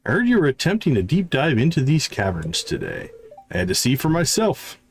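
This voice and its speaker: A man plays a mysterious, smug male game figure who speaks with measured, teasing theatricality. His pitch swings in a lively way over a clear but faint-to-moderate background noise. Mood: approving, teasing. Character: mysterious, smug male game character praising a kid adventurer. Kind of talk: reading video game dialogue aloud.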